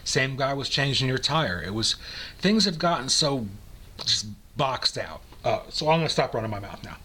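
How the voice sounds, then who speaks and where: An older man with an American accent and a husky voice, reading aloud and chatting in a rambling, nostalgic way. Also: medium pitch, very animated, moderate pace, pushed and bright. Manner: rambling, nostalgic, conversational asides. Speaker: amateur reader and host. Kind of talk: dramatic reading of old recipes with casual reminiscing.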